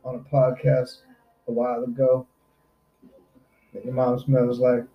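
A man's voice: mid-range voice, monotone, a little slow, heavy background noise. A man in his thirties hosting a podcast, teasing and interrupting in casual chat. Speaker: podcast host. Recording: roomy, dull lo-fi sound.

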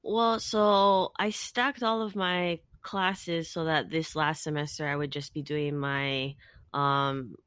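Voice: medium pitch, steady tone, slow pace, a bit bright, smooth, dry recording, studio quality. A young adult co-host of a podcast, talking in a supportive, reflective way with many fillers. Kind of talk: casual chat.

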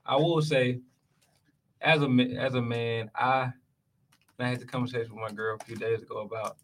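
A man's voice: mid-range voice, steady tone, moderate pace, a bit bright. A man appearing as a show guest, chatting casually in slangy, hedging phrases with frequent short interjections. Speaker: show guest, writer and creator. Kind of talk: casual chat.

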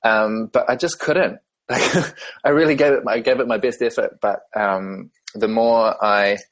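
A man from New Zealand with a New Zealand accent, a podcast guest talking through his background in a thoughtful, measured way with frequent ums. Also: mid-range voice, steady tone. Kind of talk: casual interview conversation.

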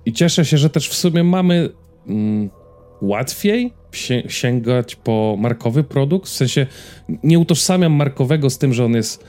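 Mellow-voiced man in casual, rambling chat. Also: medium pitch, animated, moderate pace, faint music behind. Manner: rambling, hesitant, repeating words as he goes. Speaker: podcast host. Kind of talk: casual chat about personal habits and series.

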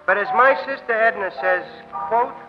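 A folksy American sidekick played by a man brings homespun comedy to a vintage radio drama. His voice is high and good-natured. The old recording is muffled, with loud music playing. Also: moderate pace, close to an everyday voice. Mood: folksy, comic. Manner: comic, homespun. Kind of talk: 1940s radio comic relief.

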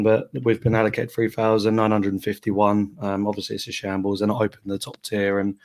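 A man with a croaky voice hosting a casual football podcast and greeting listeners. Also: on the low side. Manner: chatty, reads out listener greetings. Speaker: podcast host.

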